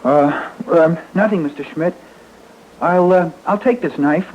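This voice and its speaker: A man's voice: fairly high pitch, unhurried, a little mellow, close to an everyday voice. An actor playing a sullen, resentful teenage boy in old radio suspense style. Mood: guarded. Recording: muffled, noisy old radio broadcast.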